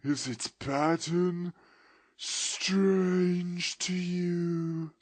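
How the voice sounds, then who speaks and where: A man voicing a doomed, mournful male ghost in chains, drawing out his words in a slow lament. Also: sits high, fairly even intonation, a little mellow, no room sound, pitched above the performer's own voice, full character voice.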